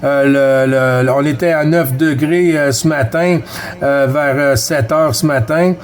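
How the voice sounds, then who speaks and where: A man's voice: fairly high pitch, measured pace. A man with a Québécois French accent working as a CB radio operator, trading callsigns in a casual, friendly way. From Quebec, Canada. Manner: friendly, addresses others as friend.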